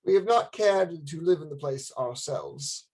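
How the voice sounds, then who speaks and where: A grave lord in a radio drama. The voice is husky and widely inflected, with courteous, stately phrasing and a formal manner. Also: male voice, on the high side, lively intonation, unhurried, a little mellow, exaggerated voice. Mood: solemn warning. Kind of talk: radio play acting.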